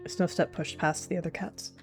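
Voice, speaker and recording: A woman calmly narrating a roleplay story in a measured way. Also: on the low side, music in the background.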